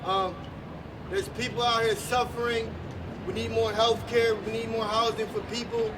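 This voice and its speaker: A man with a very high voice gives a short, hesitant statement at a street protest, pausing on "um"s. He is heard from a distance, with street noise around him. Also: flat delivery. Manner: hesitant, with frequent "um"s. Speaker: speaker at a protest press conference. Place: outdoors on a busy city street.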